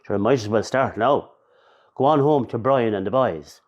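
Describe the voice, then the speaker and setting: An actor playing a grumpy, grieving older Irish father in a radio play, gruff and sardonic in manner. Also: mid-range voice, normal speed, muffled recording, close to an everyday voice. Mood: gruff affection.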